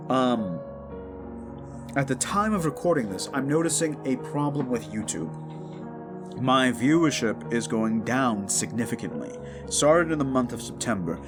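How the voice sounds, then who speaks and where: A man hosting his audio roleplay channel, giving a casual, rambling update in an informal, conversational way. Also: mid-range voice. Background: instrumental music.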